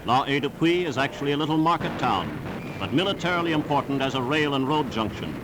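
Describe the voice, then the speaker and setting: A man's voice: medium pitch, expressive, fast, very noisy. A man reading scripted 1940s newsreel narration in a formal, emphatic, declamatory manner on a vintage soundtrack.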